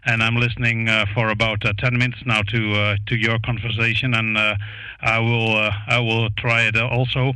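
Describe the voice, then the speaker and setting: A man with a Dutch accent chats over ham radio as an operator. His voice is bright and crisp, captured close and dry on a studio microphone. He is a native Dutch speaker and pauses often with filler sounds as he goes. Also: fairly low pitch, little pitch movement, no room sound. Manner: halting, frequent hesitations with uh. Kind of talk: ham radio contact chat. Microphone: close studio broadcast microphone.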